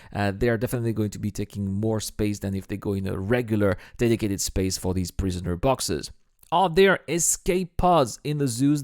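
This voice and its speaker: A man hosts a gaming explainer, reading out questions and answering them in a mid-pitched voice that is a little worn from being sick. The sound is close and dry, like a studio voice-over. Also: a little mellow, no room sound. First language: French. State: sick, voice strained from illness. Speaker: gaming video host. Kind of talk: scripted Q&A commentary over game footage.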